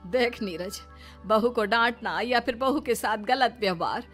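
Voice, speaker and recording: A woman's voice: on the high side, measured pace, fairly bright tone. A woman playing a firm, middle-aged mother in a moral story, admonishing and emphatic as she protects her family. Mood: stern, indignant. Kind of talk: Hindi moral story dramatization. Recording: music behind the voice.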